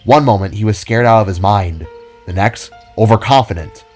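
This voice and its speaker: A man's voice: on the low side, moderate pace, darkish tone. Male story narrator over music.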